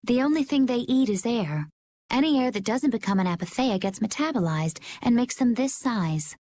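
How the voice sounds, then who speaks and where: A woman playing a composed, knowing young adventurer in a game, explaining things calmly. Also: on the high side, moderate pace. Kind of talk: English game dub acting.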